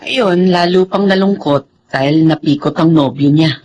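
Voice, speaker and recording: An actor playing a worried, caring relative in a Filipino drama, speaking in an anxious, fretful way. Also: male voice, a little high, measured pace, a little mellow, a little hiss or hum, close to an everyday voice. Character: worried, caring relative fretting over a niece. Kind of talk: Filipino radio-style drama acting.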